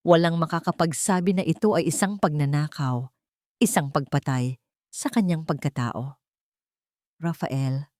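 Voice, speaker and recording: Low-pitched woman narrator, dry sound. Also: darkish tone, no room sound. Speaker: storyteller, narrator. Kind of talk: scripted dramatic story narration.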